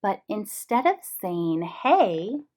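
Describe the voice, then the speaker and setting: A woman reading a storybook aloud to young children in an expressive, explanatory way. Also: medium pitch, a little slow. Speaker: read-aloud host for young English learners.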